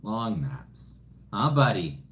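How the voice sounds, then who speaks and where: A man's voice: on the low side, very animated, takes it slowly, a bit bright, a little hiss or hum. A young man joking and teasing in casual chat on a home livestream. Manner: joking, self-deprecating. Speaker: livestream co-host.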